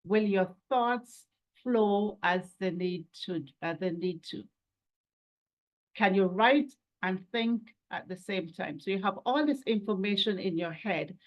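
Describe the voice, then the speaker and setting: A woman's voice: medium pitch, a little slow, lo-fi sound. A woman presenting a webinar as an educator, explaining in a didactic way with rhetorical questions and step-by-step lists.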